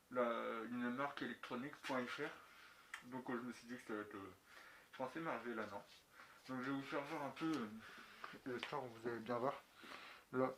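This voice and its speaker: A man giving a casual, unscripted product review in colloquial, slangy speech with some mild swearing. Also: mid-range voice. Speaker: motovlogger reviewing gear. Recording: echoey room, clicks and clear background noise.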